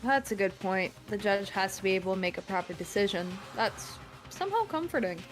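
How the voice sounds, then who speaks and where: A woman voicing an earnest, questioning high school student in an amateur visual novel reading. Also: animated, brisk, music in the background, close to an everyday voice.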